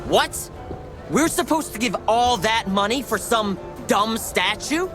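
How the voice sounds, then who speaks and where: An insolent young lad, very high-pitched and slow, drawing out his indignation. He is a medieval video game character. Music plays clearly behind him. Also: expressive. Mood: indignant. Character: insolent young son of a merchant. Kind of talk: video game cutscene acting.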